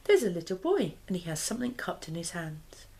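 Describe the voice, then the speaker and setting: A woman in her thirties reads a picture book aloud. Her voice sits on the lower side and moves up and down with lots of expression. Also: sing-song, fairly quick, dark tone. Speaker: mother and parenting vlogger. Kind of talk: expressive children's storybook reading. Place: small living room, indoors.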